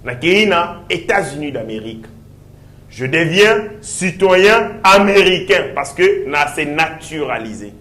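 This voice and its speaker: Echoey, high-voiced Congolese man arguing. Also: lively intonation, measured pace, bright, forceful tone. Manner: didactic, repetitive, explains with examples. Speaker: panel guest. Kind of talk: political argument, mixing French and Lingala. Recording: roomy echo on the voice.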